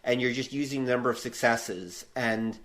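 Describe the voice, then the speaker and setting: A man's voice: medium pitch, normal speed, fairly bright tone. A man hosting a casual podcast chat, agreeable as he explains things with small hedging asides. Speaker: podcast host.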